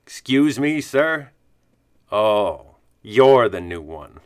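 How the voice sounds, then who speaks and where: A man's voice: fairly low pitch, big pitch swings, extremely slow, smooth, no echo, clean studio sound, heavily acted. A man acting the part of a gregarious, wry, fatherly bartender to superheroes in a comic radio play. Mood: worldly, coaxing.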